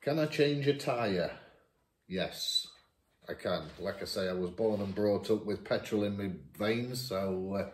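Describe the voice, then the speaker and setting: A man's voice: on the low side, a little slow. Chatty man, echoey room. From Lincolnshire, England. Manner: reads each question aloud then answers chattily, laughs at himself. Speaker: YouTuber answering viewer questions. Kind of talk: casual question-and-answer chat. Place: dining room at home, seated at a table. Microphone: camera across the table.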